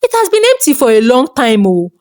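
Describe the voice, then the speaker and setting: A woman's voice: on the high side, very animated, brisk, darkish tone, no room sound, studio quality. A woman voicing an offhand, dismissive female shopkeeper in a folktale.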